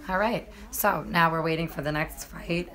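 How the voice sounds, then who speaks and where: A slightly hoarse woman hosting a casual live stream about fights, chatting to viewers with lots of fillers. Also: sits low, steady tone, normal speed, fairly bright tone. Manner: chatty, many fillers, addresses viewers directly. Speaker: MMA journalist and live-stream host.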